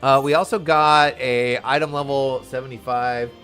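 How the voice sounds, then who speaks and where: An excitable, enthusiastic man giving casual, exclamatory live commentary as he plays an online role-playing game. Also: medium pitch, normal speed, faint music behind. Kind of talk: live gameplay commentary, casual chat to viewers.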